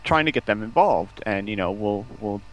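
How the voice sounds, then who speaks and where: A man speaking as a guest on an online call, chatting casually in a halting, conversational way. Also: lowish voice, expressive. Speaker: interview guest. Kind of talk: casual chat, recounting.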